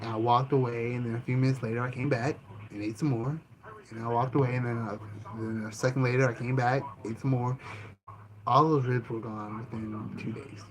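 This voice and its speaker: A man hosting his own channel, answering questions about himself in a calm, easygoing way, with many ums and restarts. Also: mid-range voice, fairly quick, poor audio. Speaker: channel host and author. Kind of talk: casual self-interview answering questions. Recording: noticeable background ambience noise.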